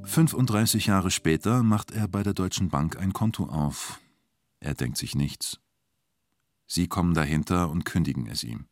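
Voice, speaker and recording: Low-voiced male documentary narrator. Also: moderate pace, faint music behind.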